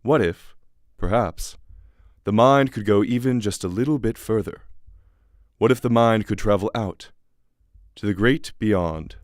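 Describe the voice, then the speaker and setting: Guy doing musing philosophical narrator, dramatic pauses, lowish voice, very dry recording, radio play. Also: no echo, natural voice. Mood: musing, intriguing.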